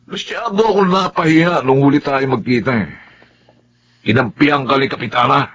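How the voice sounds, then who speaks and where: A man's voice: mid-range voice, normal speed, fairly bright tone, slight background noise, close to an everyday voice. A man playing a vengeful, arrogant young bully in a Filipino radio drama, taunting and menacing.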